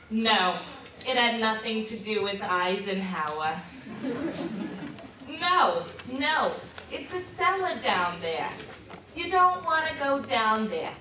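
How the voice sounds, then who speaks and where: An actress playing a reticent, embarrassed old lady reminiscing about her youth in a theatrical stage monologue, hesitant and confiding with dramatic pauses. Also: a little high, pushed and bright, full character voice. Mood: guarded, dismissive. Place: live stage performance in a hall. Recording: distant mic in echoey room, noisy.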